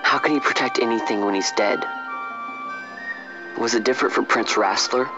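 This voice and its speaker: A man's voice: mid-range voice, normal speed, lightly acted. A young male actor voicing a restless, grieving young man in a fantasy game, casual and sincere. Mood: troubled. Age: young adult. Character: restless, grieving young street-bred man seeking his purpose. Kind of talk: dramatic game voice acting. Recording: dull lo-fi game audio over music.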